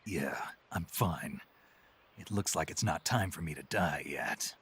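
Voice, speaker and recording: An actor playing a gruff, weary middle-aged male soldier in a video game, speaking tersely in a gravelly, husky voice. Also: lively intonation, unhurried, a bit bright, full character voice. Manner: terse, low-key, questioning.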